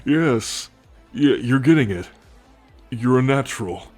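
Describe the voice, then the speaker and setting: A man voicing a friendly, teasing alien soldier in an intimate ASMR roleplay, talking in a gentle, playful, confiding way. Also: medium pitch, animated, takes it slowly, faint music behind. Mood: playful, encouraging. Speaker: voice actor in an audio roleplay. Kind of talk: ASMR roleplay, intimate one-sided conversation.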